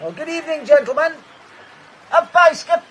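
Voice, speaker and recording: An actor playing Nixon, a cheerful Scottish shepherd calling out a greeting from a distance, in a radio drama. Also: male voice, extremely high pitch, expressive, moderate pace, noisy background. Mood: hearty.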